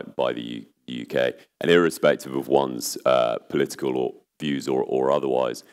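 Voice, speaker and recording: A man in his thirties with an exceptionally low voice, still recovering from laryngitis, presents at an investor seminar. He talks in a measured way, with many ums and restarts. He is picked up by a lapel mic in a large conference hall. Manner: measured, with frequent fillers and restarts.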